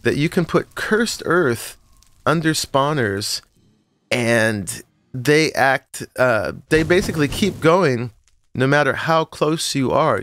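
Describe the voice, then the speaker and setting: Relaxed man with a froggy morning voice. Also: medium pitch, dry recording. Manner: relaxed, rambling, with frequent "uh" and short laughs. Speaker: Minecraft let's-play host. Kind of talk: casual gameplay tour narration.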